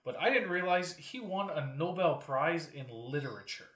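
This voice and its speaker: A man's voice: fairly high pitch, lively intonation, moderate pace, fairly bright tone. A man asking questions on a podcast in casual, irreverent, slangy banter, recorded in a room. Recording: echoey room.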